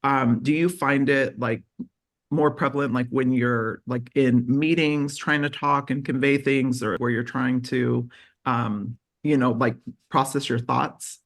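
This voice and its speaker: A man co-hosting a podcast, asking loose, friendly questions padded with fillers. His voice has a gravelly edge in mid pitch. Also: steady tone, measured pace, a bit bright. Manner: chatty questions, many fillers. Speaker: podcast co-host. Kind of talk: casual interview talk.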